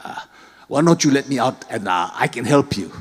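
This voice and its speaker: A man's voice: medium pitch, lively intonation, normal speed, slightly rough. A man voicing a mischievous male tanuki, a sly trickster who hisses and hems while coaxing people. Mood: wheedling. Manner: hissing, hesitant, wheedling. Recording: long hall reverb.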